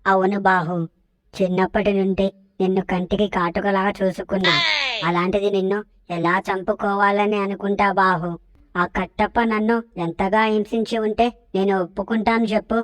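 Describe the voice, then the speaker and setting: A woman's voice: mid-range voice, flat delivery, moderate pace, close to an everyday voice. A woman playing a manipulative, vengeful older queen mother in a comic cartoon melodrama. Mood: pleading, vengeful.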